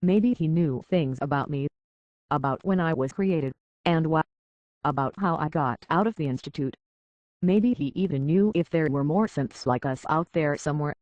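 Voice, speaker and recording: A man's voice: a little high, normal speed, dry recording, lightly acted. A man playing a world-weary, decent android detective in a video game, speaking in a wry, plainspoken, reflective way on slightly dull audio. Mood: somber, sincere. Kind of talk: video game character dialogue.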